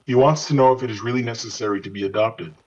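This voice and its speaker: A man's voice: medium pitch, quick pace, darkish tone, lo-fi sound, lightly acted. A man playing a neutral, dutiful interpreter in an audio fiction reading, relaying things formally.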